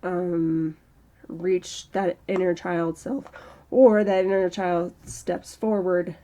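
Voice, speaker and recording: A woman in her early thirties talking slowly and reflectively in a personal vlog, with a strained throat. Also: fairly low pitch, fairly even intonation, warm tone, a little hiss or hum. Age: early 30s. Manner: slow, halting, reflective. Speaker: channel host. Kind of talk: personal mental health vlog monologue.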